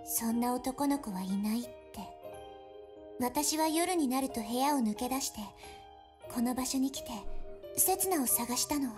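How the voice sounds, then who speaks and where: A lonely anime game girl, highish soft voice, earnest n hesitant, music under it. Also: expressive, a little fast. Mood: lonely, uneasy. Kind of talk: Japanese visual novel voice acting.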